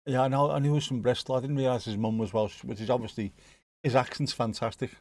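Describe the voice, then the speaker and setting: A man's voice: mid-range voice, fairly even intonation, fast talker, darkish tone, no room sound. A man with a Scouse accent, hosting a livestream and chatting casually with viewers, joking and swearing now and then. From Liverpool area, England. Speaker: livestream host. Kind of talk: casual chat reacting to live chat.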